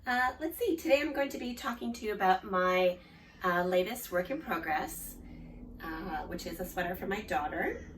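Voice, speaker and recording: A woman in her forties with an Australian accent hosts a knitting podcast, chatting casually with lots of ums and restarts, her voice a little hoarse from a cold. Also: medium pitch, animated, normal speed, far from the mic, a little hiss or hum. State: slightly hoarse, recovering from a cold. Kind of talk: casual show-and-tell chat.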